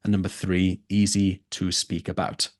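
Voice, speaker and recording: A man's voice: low-pitched, completely flat delivery, moderate pace, no room sound, studio quality. A man who tutors for a test, teaching a live online lesson and repeating his key points for emphasis.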